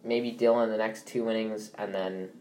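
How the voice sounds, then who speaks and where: A man's voice: lowish voice, fairly even intonation, normal speed, a little mellow. A young man hosting a casual sports podcast, sounding stuffy from allergies and rambling with many fillers and restarts. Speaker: podcast host.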